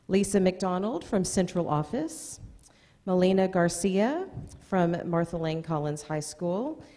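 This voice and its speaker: American woman announcing names at a school board meeting, mellow voice, big echoey room. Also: on the low side. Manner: reads out names, with informal asides. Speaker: school district presenter. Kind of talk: announcing award nominees at a public meeting. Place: indoor meeting room at a podium. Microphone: gooseneck podium microphone. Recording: echoey meeting hall, long reverb.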